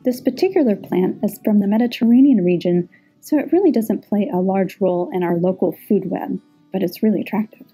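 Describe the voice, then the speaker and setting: A middle-aged woman, a garden manager, narrating a scripted plant tour in an informative, measured way. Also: mid-range voice, warm tone, breathy edge, soft background music.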